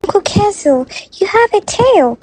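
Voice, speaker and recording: A woman's voice: very high-pitched, very animated, a little slow, darkish tone, voice pushed up, exaggerated voice, rough recording. A woman voicing an eager, daring young village girl in a narrated folktale. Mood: awed.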